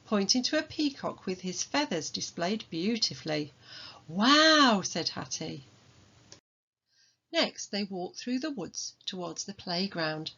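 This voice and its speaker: A woman reading a children's storybook aloud as its author, in an expressive manner. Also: mid-range voice, lo-fi sound. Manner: expressive, acts out dialogue.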